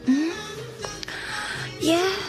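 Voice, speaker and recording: A woman's voice: very high voice, very slow, full character voice. A woman playing a wistful, fearful mother in a radio drama, hesitant, moving between whispers and outbursts. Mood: dreamy, distracted. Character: wistful, frightened mother reliving her past. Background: music.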